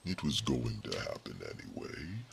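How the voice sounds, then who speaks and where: A man voicing an ancient, wise male guardian in an ASMR fantasy roleplay, speaking in a slow, hushed half-whisper with a gravelly voice. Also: rumbling low, very animated, a little hiss or hum, full character voice.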